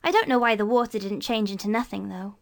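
A woman's voice: mid-range voice, fast talker, vocal fry, lightly acted. A woman voicing a jaunty, easygoing older boy with a teasing manner in a children's storybook. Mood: musing.

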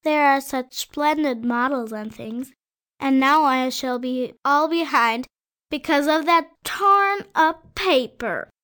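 An earnest tearful little schoolboy. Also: male voice, extremely high pitch, slow pace, sharp tone, voice pushed up, heavily acted. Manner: earnest, pleading childlike voice. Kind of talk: storybook read-aloud.

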